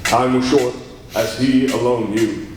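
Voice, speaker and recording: A man's voice: medium pitch, fairly even intonation, unhurried, lightly acted. A man acting as the dramatic narrator of an old radio adventure serial, in scripted, urgent storytelling style. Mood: urgent, grave. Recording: distant, very echoey, clear background noise.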